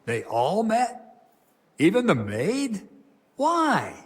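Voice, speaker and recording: An actor playing a stern, burdened abbot of a medieval monastery, grave and formal, in a dramatic game cutscene. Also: male voice, high-pitched, huge pitch swings, very slow, exaggerated voice. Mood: incredulous.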